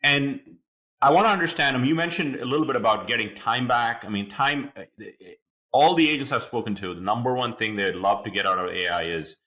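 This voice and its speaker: A man's voice: medium pitch, fairly quick, sharp tone. A man hosting an interview podcast, explaining things at length in an enthusiastic, chatty way with many fillers. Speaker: podcast host. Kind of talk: conversational interview.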